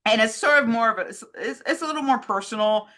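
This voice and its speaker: A woman's voice: on the high side, fast talker, pushed and bright. A woman in casual conversation, talking in an enthusiastic, rambling way as a co-host. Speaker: co-host answering fan questions. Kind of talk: casual chat.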